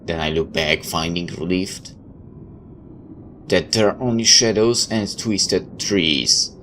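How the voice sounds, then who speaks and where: A man's voice: low-pitched, takes it slowly, bright, forceful tone. A man reading a visual novel aloud like a dramatic storyteller, tossing in his own asides. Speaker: let's player. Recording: clear background noise.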